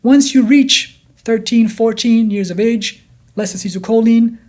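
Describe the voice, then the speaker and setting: A man's voice: very high-pitched, unhurried, a little mellow. Kurdish-Danish accented man explaining science. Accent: blended Danish and Kurdish. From Denmark, Kurdish from Iraq. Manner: repeats words and restarts often while explaining. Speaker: neuroscientist guest. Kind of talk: explanatory interview talk.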